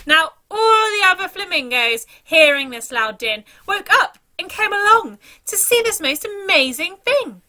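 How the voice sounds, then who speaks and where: A woman's voice: very high-pitched, very animated, measured pace, few pauses, sharp tone. A woman reading a children's story aloud in a dramatic, theatrical storytelling manner.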